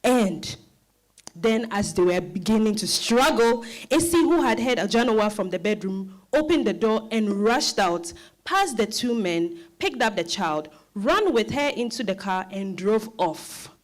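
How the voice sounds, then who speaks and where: A woman's voice: mid-range voice, animated, measured pace. A woman narrating a scripted dramatized reading as storyteller.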